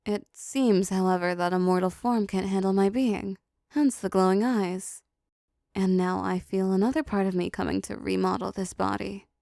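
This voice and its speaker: A woman voicing a possessive, seductive female demon in an intimate audio roleplay, softly teasing, dominant and doting. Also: medium pitch, fairly even intonation, normal speed, creaky, dry recording, studio quality, close to an everyday voice. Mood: smug, commanding.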